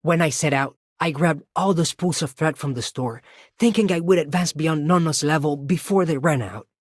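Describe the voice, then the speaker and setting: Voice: medium pitch, normal speed, dry recording, studio quality, lightly acted. An actor voicing a humble, thoughtful young artisan in a scripted video game line. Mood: wistful.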